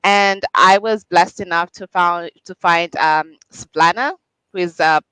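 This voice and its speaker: A woman from Zambia with a Zambian accent, a podcast guest talking about her business in a lively way, often laughing. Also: lowish voice, measured pace, clean tone, dry recording, rough recording. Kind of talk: interview chat.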